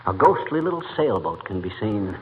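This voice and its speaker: A man's voice: lowish voice, very animated, moderate pace. A man playing a boastful middle-aged husband who rambles through folksy tall sailing yarns in 1940s radio comedy style. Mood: hushed, theatrical. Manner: blustering, rambling, interrupts with folksy grammar. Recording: muffled, hissy old radio broadcast.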